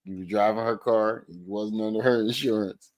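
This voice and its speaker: A man's voice: fairly low pitch, fairly even intonation, normal speed, no echo. Amused man reacting casually, close dry sound. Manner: amused, slangy, informal. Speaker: commentary show host reacting to a recorded call.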